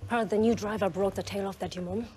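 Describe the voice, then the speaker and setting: A woman's voice: medium pitch, fairly even intonation, fast talker, a little mellow, faint music behind, close to an everyday voice. A woman playing a serious, competitive lead racing driver in documentary-style game scenes, speaking matter-of-factly. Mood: sceptical. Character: guarded, competitive female lead racing driver in her prime.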